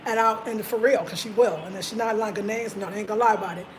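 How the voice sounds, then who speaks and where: A woman's voice: mid-range voice, fairly even intonation, fast, a little hiss or hum. An American woman hosting a live stream, chatting animatedly in slang, telling stories and reading out viewer comments. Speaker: host.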